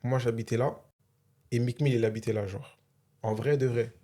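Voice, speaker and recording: A man's voice: mid-range voice, fairly even intonation, normal speed, mellow. A young man from Philadelphia raised in France, joking and boasting with lots of slang in a casual chat among friends. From born in Philadelphia, raised in France. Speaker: podcast host.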